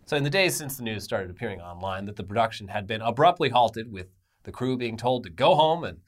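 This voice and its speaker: A man's voice: fairly low pitch, animated, fairly quick. A man co-hosting an entertainment news show, giving wry, conversational commentary and reading news and sponsor copy casually.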